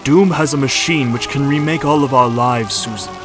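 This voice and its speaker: A man's voice: mid-range voice, moderate pace, fairly bright tone, faint music behind, natural voice. A man playing a brooding, lovesick undersea ruler, speaking in florid, formal romantic declarations in a superhero drama. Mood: resigned devotion. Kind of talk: scripted superhero audio drama.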